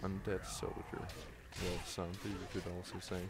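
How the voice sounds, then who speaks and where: A man from Denmark, a native Danish speaker, giving tired, halting live commentary on his game play with many ums and restarts. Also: sits low, little pitch movement. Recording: loud background noise over the voice.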